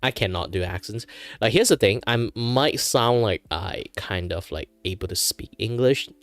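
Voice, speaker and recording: A man's voice: fairly low pitch, animated, moderate pace, a bit bright, no room sound, faint music behind. A man in his thirties from Malaysia whose first language is Chinese, chatting casually on a drawing stream with lots of fillers and laughs. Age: 30s. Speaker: streamer and aspiring voice actor.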